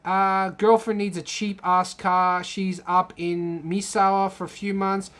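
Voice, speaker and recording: A man's voice: high-pitched, level tone, measured pace, a bit bright. A young man casually chatting on a live stream, rambling, reading comments aloud and swearing freely. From Australia. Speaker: channel host.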